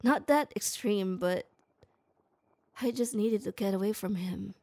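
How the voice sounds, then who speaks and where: Breathy young woman doing asmr roleplay, shy tomboy vibe, slow and halting, stammers a bit. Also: mid-range voice, darkish tone. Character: shy tomboy girl, gruff but kind. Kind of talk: ASMR roleplay, speaking to the listener.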